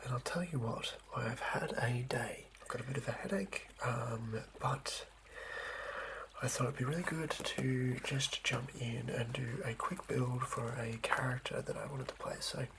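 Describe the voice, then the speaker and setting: A man whispering softly as he rambles through building a tabletop role-playing character, with lots of fillers and pauses. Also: medium pitch, gravelly, heavy background noise. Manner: soft unvoiced whispering, rambling with many fillers; writes by hand as he talks. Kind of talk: whispered ASMR chat.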